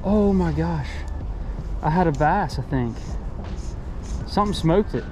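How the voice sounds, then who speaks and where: A man's voice: on the high side, unhurried, background noise. Easygoing man fishing outdoors. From Texas. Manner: easygoing, excited, talks while casting. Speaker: fishing vlogger. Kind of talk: casual outdoor vlog narration. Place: outdoors by a creek under an underpass. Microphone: camera mic, held close or filmed from a few steps away.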